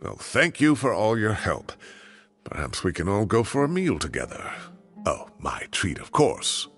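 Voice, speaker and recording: Grumpy old retired professor, gravelly, bright tone, pitch swooping all over, faint music behind. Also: male voice, wildly swooping pitch, measured pace, exaggerated voice. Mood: indignant. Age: older. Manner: grumbling, theatrical and huffy. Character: fussy retired male professor, older, indignant. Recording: game audio with faint music.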